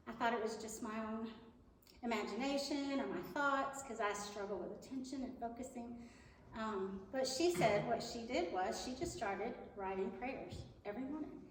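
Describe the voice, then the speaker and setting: A woman with a big voice speaks earnestly to a church congregation, chatty and a little self-deprecating. Her pitch sits on the high side. The sound is echoey and comes from some distance away in a large hall. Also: a little hiss or hum. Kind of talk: Mother's Day church talk. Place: church sanctuary. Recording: echoey hall, mic from far off.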